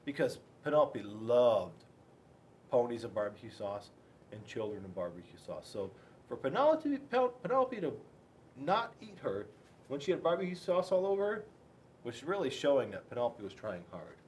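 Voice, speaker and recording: A man's voice: mid-range voice, very animated, normal speed, darkish tone, slight background noise. A man reading a picture book aloud and chatting to children, playful and full of rhetorical questions. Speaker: school resource officer reading to children.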